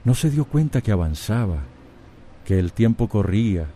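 A man's voice: on the low side, expressive, normal speed, dark tone, slight background noise. A man narrating a radio serial as a grave, reflective storyteller. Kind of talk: scripted dramatic narration.

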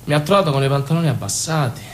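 A man's voice: a little high, very fast, a little hiss or hum, close to an everyday voice. A man acting a naive, passionate young Sicilian man in an intense, confessional stage monologue with a Sicilian accent. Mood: hushed confession. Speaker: stage actor. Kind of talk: theatrical monologue in dialect.

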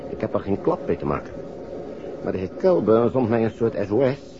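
Weary, blunt businessman, low voice, grumbling radio-play delivery, heavy noise on vintage tape. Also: big pitch swings, a little fast. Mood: resigned, worried. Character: busy, weary businessman fretting over a family quarrel. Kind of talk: 1960s Dutch radio drama acting. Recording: dull, noisy old radio recording.

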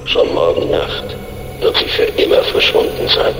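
An actor playing a mysterious, commanding masked informant in an audio drama, speaking in a deliberate, stern and formal way. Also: male voice, lightly acted. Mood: grave, explaining. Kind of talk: German audio drama acting. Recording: echoey reverberant space, some noise.

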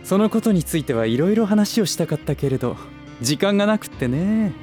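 An actor playing a scheming businessman in a Japanese voice drama, speaking politely and gently with teasing condescension. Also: high voice, expressive, fairly quick, soft background music. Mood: smooth, needling. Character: smooth, scheming adult male relative and businessman, teasing.

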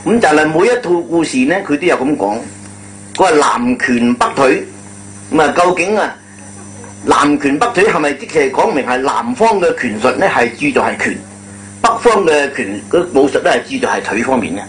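A man's voice: fairly high pitch, expressive, moderate pace, fairly bright tone, poor audio. A man, a storyteller and panel guest, putting questions in a discursive, informal conversational way. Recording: old radio recording, faint hum.

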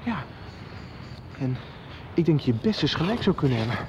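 A man's voice: fairly high pitch, sing-song, normal speed. An actor playing a nervous, eager young man in a radio play. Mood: excited. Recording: lo-fi radio play with street noise.

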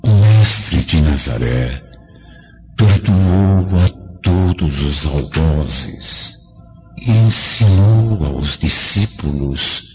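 A compassionate ghostly friend, exhorting in Brazilian Portuguese radio-drama style. The voice is extremely low and creaky, unfolding very slowly and gravely over loud music. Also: male voice, heavily acted. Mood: solemn, pleading. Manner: slow, grave, pleading, preacher-like delivery. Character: solemn male spirit of an old friend, compassionate, exhorting. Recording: dull lo-fi audio, loud music behind.

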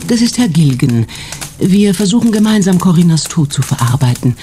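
A woman's voice: on the low side, sing-song, moderate pace, mellow, soft background music. An actress playing a sentimental, esoteric grieving woman in a radio play, solemn and musing. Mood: soulful. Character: soulful, esoteric grieving best friend, earnestly sentimental.

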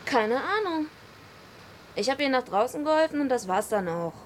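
A woman's voice: high voice, very animated, moderate pace, a little hiss or hum. An actress playing a big, blunt, streetwise female wrestler and night guard in a German radio drama, brusque and confrontational. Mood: defiant, irritated.

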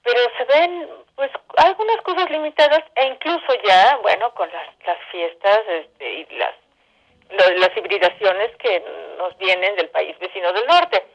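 A woman's voice: medium pitch, unhurried, muffled recording. Woman explaining in a radio interview. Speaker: interview guest, theatre director.

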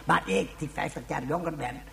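A man playing a mocking, offended old man in a radio play, with a creaky, eerie voice and a theatrical, repetitive way of talking. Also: a little high, moderate pace, pushed and bright, exaggerated voice. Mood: bitter, disillusioned. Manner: obsessively repeats insults, theatrical, sardonic. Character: embittered, offended, mocking old man who refused rejuvenation.

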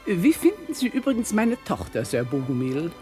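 A woman's voice: lowish voice, wildly swooping pitch, moderate pace, dark tone, soft background music. An actress playing an imperious aristocratic lady and mother in a radio play. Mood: haughty. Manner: imperious, haughty, cutting. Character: haughty aristocratic lady, sharp-tongued mother.

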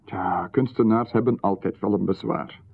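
A man's voice: lowish voice, normal speed, gravelly. An actor playing a pushy, overly familiar male stranger in a radio drama, insistent and ingratiating, with stammered restarts and little chuckles. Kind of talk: 1990s radio drama acting. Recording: lo-fi radio broadcast, phone-like band.

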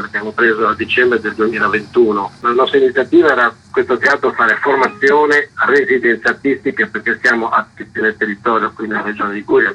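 A man with a husky voice, a theatre's artistic director, explaining a programme in an interview. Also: mid-range voice, sharp tone. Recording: dull lo-fi radio interview audio.

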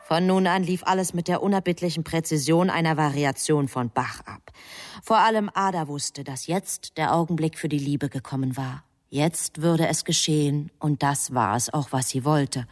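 Composed angel narrator, low-key delivery. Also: female voice, soft background music. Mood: measured, wry. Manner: measured, wryly formal storytelling. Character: composed, superior female angel narrating a divine plan. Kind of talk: literary radio play narration.

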